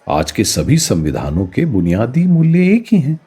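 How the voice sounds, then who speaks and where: Thoughtful professor in a radio play, super expressive pitch swings, soft mellow tone, explains with emphasis. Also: male voice, moderate pace, breathy edge. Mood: reflective. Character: thoughtful male political science professor explaining to a student. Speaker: political science teacher character. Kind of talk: radio play dialogue, Hindi mixed with English.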